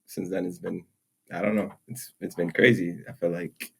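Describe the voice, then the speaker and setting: Young male co-host, relaxed and chatty, very low voice with vocal fry. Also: moderate pace, a little hiss or hum, rough recording. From Nigeria. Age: young adult. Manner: laid-back, laughs often, many "like" fillers. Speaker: podcast co-host. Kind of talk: casual chat. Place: room, seated in chairs.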